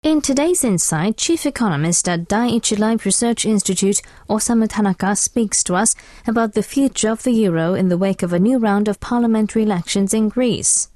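A woman's voice: medium pitch, fairly quick, few pauses, warm tone, no room sound, clean studio sound. A woman presenting scripted international news on the radio.

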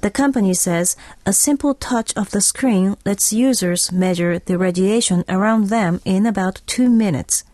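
A woman's voice: mid-range voice, moderate pace, hardly any pauses, a little mellow, no room sound. A woman news reader presenting a scripted radio report.

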